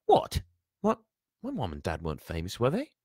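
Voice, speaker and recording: Storybook schoolboy played by an adult man: raised pitch, polite, unsure, puzzled, questioning delivery. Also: wildly swooping pitch, moderate pace, a little mellow, slightly rough, no echo, studio quality, full character voice. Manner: hesitant, questioning. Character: young boy, polite, bewildered and hesitant.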